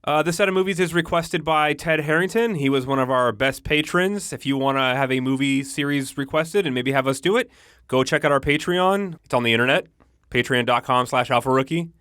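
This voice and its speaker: A man co-hosting a casual horror podcast who likes dry, sarcastic quips. His voice sits at an ordinary middle pitch. It is recorded close and dry, with no echo. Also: a little fast, a bit bright, clean tone. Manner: joking, dry sarcastic asides. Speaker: podcast co-host. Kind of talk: casual chat.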